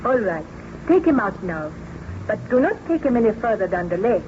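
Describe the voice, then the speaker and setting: An actress playing a baron's gentle, anxious beloved in old-time radio drama, with formal, solicitous delivery on an old radio recording. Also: female voice, medium pitch, big pitch swings, normal speed, muffled recording, background noise, lightly acted. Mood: solicitous, firm.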